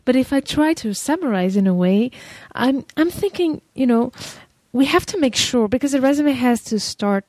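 A woman's voice: high-pitched, lively intonation, normal speed, few pauses, a little mellow, no echo, rough recording. Woman host, enthusiastic, dry close sound. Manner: enthusiastic, attentive listener. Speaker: podcast host. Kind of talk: podcast interview.

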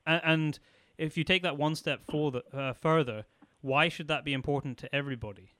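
A male host with a smooth, resonant voice leads a relaxed interview. The sound is close and dry, with no room around it. He asks questions with open enthusiasm. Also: fairly high pitch, a little fast, dry recording. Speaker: podcast host. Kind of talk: casual interview chat.

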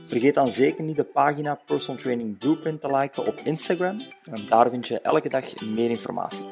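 Gravelly-voiced man podcast host. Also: medium pitch, fairly quick, darkish tone. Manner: hesitant, repeats words. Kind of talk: podcast hosting. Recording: faint music behind.

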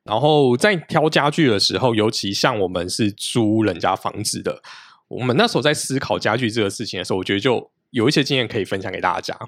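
A man's voice: on the low side, lively intonation, fast, no room sound, clean studio sound. A man, explaining things at length as a podcast guest, restarting his sentences often. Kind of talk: casual conversational interview.

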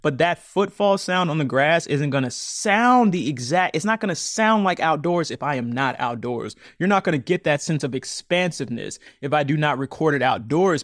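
A man talking casually as a podcast guest, animated and laughing often, repeating words as he thinks aloud. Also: a little high, dry recording, studio quality. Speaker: podcast guest, audio drama maker. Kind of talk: casual interview chat.

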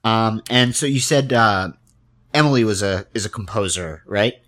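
A man with a somewhat low voice works as an interviewer in a relaxed, conversational style. He talks haltingly, circling and rambling as he thinks out loud. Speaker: interviewer, podcast host. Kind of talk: casual interview chat.

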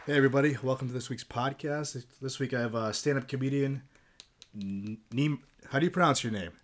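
Casual male podcast host, mid-pitched. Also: brisk. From Greece. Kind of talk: casual interview.

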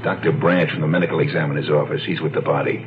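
An actor playing a brisk, teasing homicide cop with a blunt, casual manner in a radio drama. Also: male voice, a bass voice, little pitch movement, over music. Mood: businesslike. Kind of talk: 1970s radio drama acting. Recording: dull lo-fi radio drama recording.

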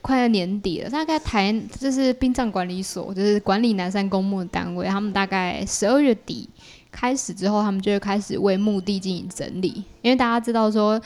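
A woman's voice: mid-range voice, fairly quick, a little mellow. Taiwanese woman, conversational podcast guest. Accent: Taiwanese Mandarin. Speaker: podcast guest, creator of audio works. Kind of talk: casual conversational explaining.

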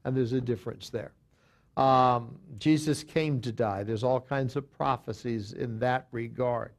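A man's voice: mid-range voice, unhurried. A man teaching a Bible study as a pastor, talking with frequent restarts and fillers and reading scripture aloud.

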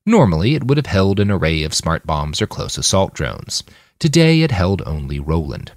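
Deep-voiced man narrating fiction with lively intonation. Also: moderate pace, no echo, studio quality. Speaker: author reading his own novel on a podcast. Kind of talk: scripted fiction audiobook narration.

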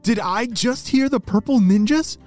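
A man voicing a plucky, resourceful young girl who excitedly thinks aloud in a children's story. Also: extremely high pitch, moderate pace, soft background music, pitched above the performer's own voice. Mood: shocked.

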